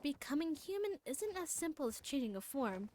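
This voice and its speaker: A woman's voice: high voice, very animated, moderate pace, a little mellow. A woman livestreaming a game in casual chat, playful and easily flustered, stammering through banter. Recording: music playing behind the voice. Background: music.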